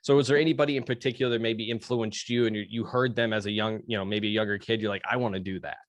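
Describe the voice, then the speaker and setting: A man with a lowish voice hosts a casual interview, leaning on fillers like "you know" and "um" and agreeing readily. His voice comes across dry and close, with no room around it. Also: steady tone, quick pace, no room sound. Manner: easygoing, filler-heavy, affirming. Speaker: interview host.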